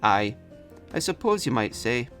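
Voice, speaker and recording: A man voicing a gruff, scornful, foul-mouthed Irishman with a slight brogue in a narrated story. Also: medium pitch, very animated, measured pace, fairly bright tone, faint music behind. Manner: gruff, scoffing, cursing.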